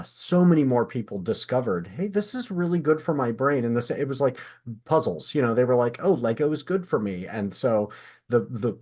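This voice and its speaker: A man hosting a podcast, talking in a casual, chatty way with guests. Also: mid-range voice. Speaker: podcast host interviewing an author. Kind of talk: casual chat.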